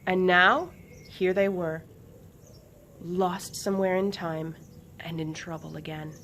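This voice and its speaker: A woman with a slightly low voice reads a children's adventure story aloud. She narrates expressively and dramatically, leaning on key words. There is faint noise in the background. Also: steady tone, takes it slowly, a bit bright. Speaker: author reading aloud.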